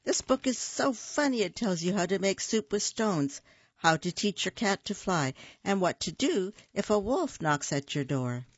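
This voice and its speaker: A woman reading the lines of a patient, know-it-all big sister in a children's storybook, gently explaining things. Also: medium pitch, big pitch swings, moderate pace, no echo, lo-fi sound, pitched above the performer's own voice.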